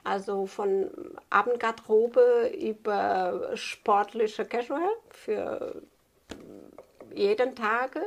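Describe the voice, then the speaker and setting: A Portuguese woman in her fifties with a Portuguese accent, answering interview questions about her craft haltingly, searching for words in a language not native to her. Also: mid-range voice. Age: 50s. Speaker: tailor being interviewed.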